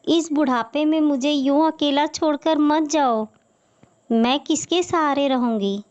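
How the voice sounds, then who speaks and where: Woman doing a slow, nagging old mother crow. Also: high-pitched, darkish tone, lo-fi sound. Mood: remorseful pleading. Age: older. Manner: scolding, sarcastic, self-pitying. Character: bitter, nagging elderly mother-in-law crow. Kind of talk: Hindi moral-story cartoon acting.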